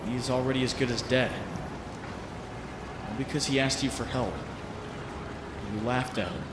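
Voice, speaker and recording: A man's voice: mid-range voice, fairly even intonation, normal speed, natural voice. Man playing a troubled young superhero, roomy sound. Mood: grim, regretful. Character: young male superhero, overwhelmed, sardonic and troubled. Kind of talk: comic dub acting with introspective narration. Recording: roomy, noisy home recording.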